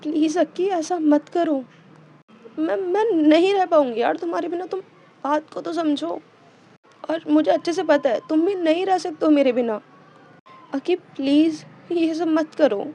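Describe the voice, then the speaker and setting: A woman's voice: very high voice, normal speed, mellow, pitched above the performer's own voice. A woman voicing a distraught young woman who cries and stammers as she begs her spouse not to leave. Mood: pleading. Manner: stammering, tearful pleading. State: crying.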